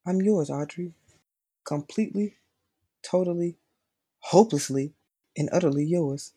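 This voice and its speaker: A man's voice: a little high, measured pace, mellow, slightly rough. A man performing a dramatic monologue as a conflicted, lovestruck, rational man, pleading intensely and emphatically.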